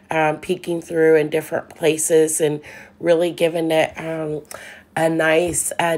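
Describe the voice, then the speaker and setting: A middle-aged woman talks through her painting work at a slow, easy pace. Her voice sits a little low, and she pauses often with ums and restarts her thoughts. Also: darkish tone. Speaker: furniture painter. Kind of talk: relaxed voice-over narration.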